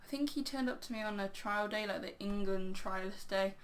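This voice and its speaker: A woman with a crackly, hoarse voice giving casual, rambling video game commentary, full of "um" and "yeah". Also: medium pitch, fairly quick. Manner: rambling, filler-heavy, "um, yeah". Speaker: game channel host.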